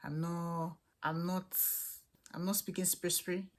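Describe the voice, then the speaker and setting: A woman's voice: lowish voice, flat delivery, slow. A young woman with a Nigerian accent chatting candidly as she answers viewers' questions about student life and faith. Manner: chatty, candid, with frequent restarts. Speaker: international student vlogger. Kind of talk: casual chat answering questions to camera.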